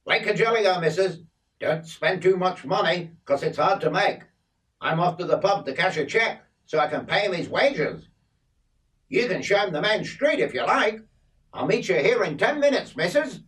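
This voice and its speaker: A man voicing a gruff, stingy old farmer. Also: high-pitched, lively intonation, normal speed, a bit bright, far from the mic, voice pushed up, rough recording.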